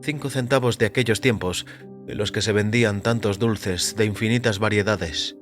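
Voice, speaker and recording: A man narrates audio fiction in a fairly low voice. His delivery is measured and deliberate, like a storyteller reading in the first person. Soft music plays underneath. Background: background music.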